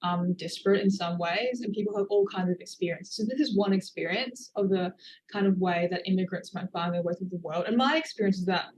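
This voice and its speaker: A woman's voice: lowish voice, fairly quick, low-quality recording. A woman, an author, answering interview questions in a thoughtful, hedging way with many fillers. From Australia. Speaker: author guest.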